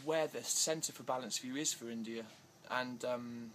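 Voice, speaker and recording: A man's voice: medium pitch, a little slow, fairly bright tone, a little hiss or hum. A man answering interview questions with many fillers and restarts, growing agitated as he talks. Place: outdoors in India.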